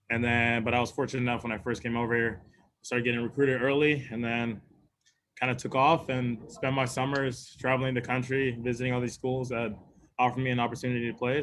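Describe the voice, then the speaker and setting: A man's voice: mid-range voice, fairly bright tone. Easygoing young man from Sweden. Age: young adult. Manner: easygoing, laughs often, many fillers. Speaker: college football player. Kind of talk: press conference answers.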